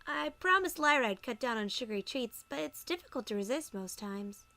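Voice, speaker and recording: A woman voicing a polite, sweet, bashful female pony in an audiobook, speaking in a courteous, gentle way. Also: fairly high pitch, expressive, a little fast, no echo. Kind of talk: audiobook character acting.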